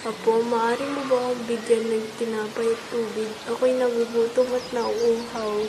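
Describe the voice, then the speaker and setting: A frail, hungry old woman from a puppet tale, acted by a woman. Her voice is somewhat high and stays flat and even. Clear hiss runs through the homemade recording. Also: normal speed, lightly acted. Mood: pleading, grateful. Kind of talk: children's puppet show acting. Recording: noisy amateur recording with hiss.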